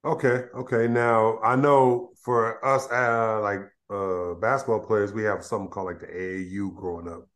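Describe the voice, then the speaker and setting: A man hosting a sports interview podcast, talking in a relaxed, slangy way with fillers and restarts. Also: fairly low pitch, moderate pace, hardly any pauses. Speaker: podcast host. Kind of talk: casual interview chat.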